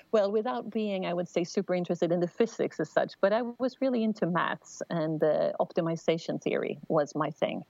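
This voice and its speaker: A middle-aged Swedish-accented woman speaks thoughtfully, stopping and restarting as she picks her words. Her voice sits low with a gravelly edge. The recording is dry and a little dull. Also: normal speed, a little mellow, no echo. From Sweden. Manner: thoughtful, many fillers and restarts. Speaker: telecom executive guest. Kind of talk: conversational interview.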